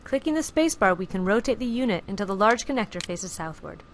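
A woman giving clear, even, scripted narration for a software screencast tutorial, recorded as a studio voice-over. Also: mid-range voice, fast talker, slight background noise. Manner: clear, even instructional delivery.

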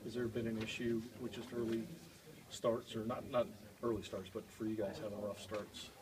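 A man's voice: on the low side, little pitch movement, moderate pace, distant mic. A man working as a sports reporter, asking an informal, loosely worded press question. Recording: off-mic voice amid crowd noise.

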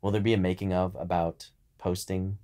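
Very low-pitched man, casual podcast co-host. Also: flat delivery, normal speed, dark tone.

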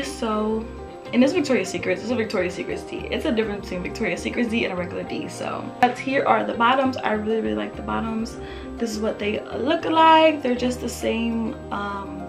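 Chatty raspy-voiced young woman vlogger. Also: fairly high pitch. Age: young adult. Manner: chatty, upbeat, lots of fillers. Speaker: fashion vlogger. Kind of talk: casual try-on haul chat. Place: bedroom with photo-collage wall, indoors.

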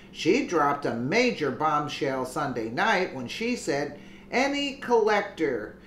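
A commentator reading a news article aloud with deliberate delivery and casual asides. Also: medium pitch, fairly bright tone, echoey room, a little hiss or hum.

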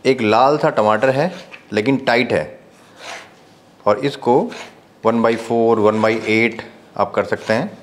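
A man narrating a recipe step by step as a cooking show host, giving calm practical tips. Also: medium pitch, slow, pauses often, a little hiss or hum.

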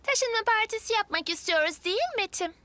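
Bubbly, very high-pitched little girl. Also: fairly quick, no room sound. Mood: excited. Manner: childlike, bright-eyed, excitable. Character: bubbly, excited little girl twin, about five. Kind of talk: Turkish dub of children's live-action show.

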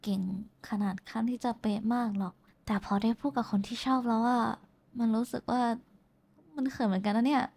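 A woman's voice: on the high side, a little fast, vocal fry, a little mellow. A woman playing a shy, affectionate young woman in an intimate roleplay, talking casually and hesitantly to someone special. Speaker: VTuber voice actress. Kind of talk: intimate roleplay voice pack addressed to the listener.

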